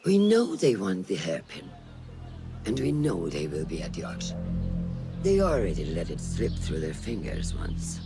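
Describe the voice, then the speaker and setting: An actor playing a stern, watchful mentor of assassins in a video game, commanding and sententious, giving measured orders. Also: male voice, low-pitched, wildly swooping pitch, creaky, over music, full character voice. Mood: brisk, planning. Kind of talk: dramatic game cutscene acting.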